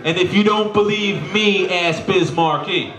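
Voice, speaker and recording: A man's voice: sits high, a little slow, echoey room, low-quality recording. A man hosting the event, emphatically introducing an honoree and rallying the crowd. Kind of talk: hyping introduction.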